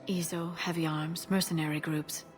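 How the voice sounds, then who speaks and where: An actress playing a composed, pragmatic mission contact in a video game, with a calm, measured and wry delivery. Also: female voice, deep voice, soft background music, lightly acted.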